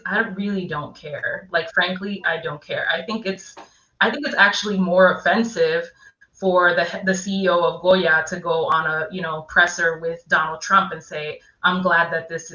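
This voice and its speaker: Outspoken woman guest, bright voice, echoey lo-fi video-call sound. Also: on the low side. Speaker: guest, assistant professor. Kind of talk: casual roundtable chat. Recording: echoey, dull lo-fi call audio.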